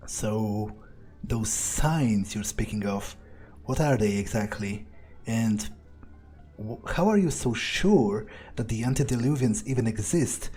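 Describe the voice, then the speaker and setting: A man's voice: on the low side, animated, takes it slowly, mellow, husky, faint music behind, heavily acted. A man voicing a wary, curious young male vampire newcomer in a video game roleplay, casual and hesitant, as if thinking aloud. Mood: curious, doubtful.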